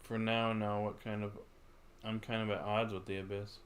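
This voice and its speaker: A man's voice: fairly low pitch, little pitch movement, moderate pace, slight background noise. A man livestreaming a video game, reacting casually with brief, offhand, laid-back remarks.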